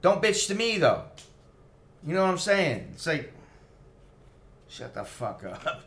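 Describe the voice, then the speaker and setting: A man's voice: fairly high pitch, big pitch swings, slow pace, fairly bright tone, a little hiss or hum. A middle-aged American man, a little tipsy, rambling casually to a livestream in a blunt, profane, opinionated way. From United States. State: tipsy after a few drinks. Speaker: expat vlogger.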